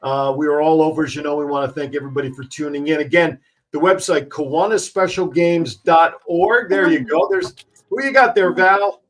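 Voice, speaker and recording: Chatty man with a higher voice. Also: fairly quick, a bit bright. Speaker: host of a livestream show. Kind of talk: casual live commentary.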